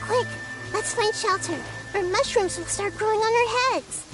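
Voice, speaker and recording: An actress voicing a tiny, childlike fairy companion in a video game, squeaky, expressive and full of curiosity. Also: female voice, moderate pace, rough recording. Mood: chirpy. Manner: exclaiming, questioning, expressive. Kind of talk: scripted video game dialogue. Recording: music clearly behind the voice.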